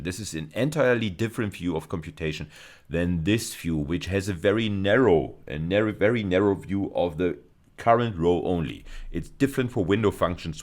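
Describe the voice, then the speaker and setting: A man giving a university lecture, explaining slides step by step and rephrasing key terms for emphasis. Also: low-pitched.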